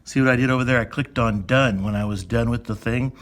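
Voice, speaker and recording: A man's voice: medium pitch, fast, a bit bright. A man speaking as an elementary school teacher, chatting informally through step-by-step instructions for young children and thinking aloud.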